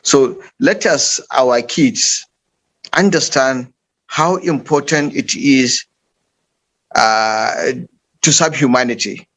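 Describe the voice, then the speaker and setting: Nigerian man, panel guest, deliberate slow delivery with many pauses and fillers. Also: medium pitch, fairly bright tone. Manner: unhurried, with frequent uh fillers and restarts. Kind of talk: online panel discussion.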